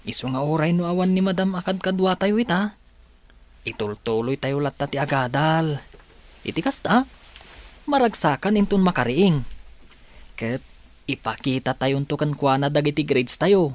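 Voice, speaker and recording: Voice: medium pitch, lively intonation, moderate pace, pauses often, natural voice. An actor with an Ilocano accent playing a determined, hopeful young student in a radio drama, speaking earnestly.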